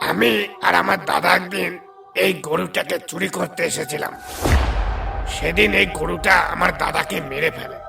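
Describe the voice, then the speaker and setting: Man as gravelly, vengeful village thief. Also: sits high. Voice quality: gravelly, creaky. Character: vengeful young village cattle thief, defiant and hot-headed. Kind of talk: Bengali cartoon dubbing.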